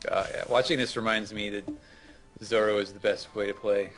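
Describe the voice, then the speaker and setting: A man's voice: lowish voice, steady tone, normal speed, fairly bright tone, soft background music, poor audio. A man co-hosting a gaming show, making casual comments on the game being played.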